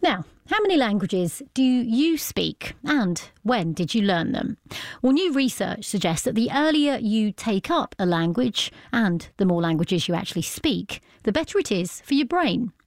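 A woman presenting a radio news programme in a measured, conversational way. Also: mid-range voice, very animated, no echo, studio quality.

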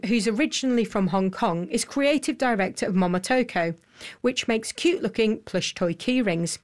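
Woman presenting radio business news. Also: medium pitch. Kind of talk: broadcast news presenting and interviewing.

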